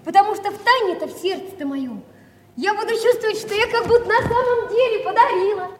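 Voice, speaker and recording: An actress playing a kind, earnest young woman in a theatrical audio play, speaking politely and gently. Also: very high-pitched, lively intonation, fairly quick. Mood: tender. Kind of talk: theatrical stage dialogue. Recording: echoey hall with faint noise.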